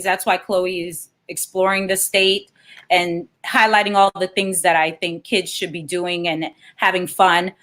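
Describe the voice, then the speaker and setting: A woman's voice: medium pitch, fairly bright tone. A woman, a children's author, reading aloud and explaining things as an interview guest.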